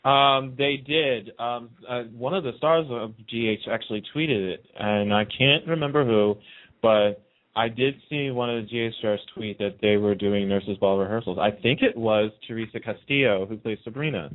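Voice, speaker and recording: A man with a medium-pitched voice hosts a casual fan chat in an agreeable, enthusiastic way. The audio is narrow and thin, like a phone line. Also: normal speed. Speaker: talk show host.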